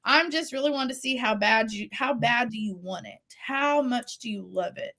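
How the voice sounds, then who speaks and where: A woman's voice: fairly high pitch, fairly bright tone. Earnest woman in her forties, reflective. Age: 40s. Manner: earnest, faith-driven, with laughs and restarts. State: voice recovering after loss. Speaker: singer-songwriter guest. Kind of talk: reflective interview talk.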